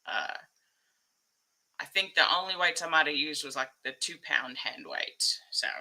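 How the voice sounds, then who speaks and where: Hoarse, bright-voiced woman chatting and laughing. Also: low-pitched, measured pace. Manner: rambling, laughs often between phrases; excitable, reading chat names aloud. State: hoarse, losing her voice. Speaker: live stream host. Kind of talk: casual live stream chat.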